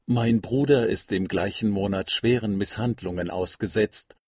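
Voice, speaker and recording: An actor playing a reflective, formal exiled writer in his early forties in a literary radio play, with measured, articulate delivery. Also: lowish voice, a little mellow, slightly rough, no echo, clean studio sound. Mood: formal, grave. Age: middle-aged.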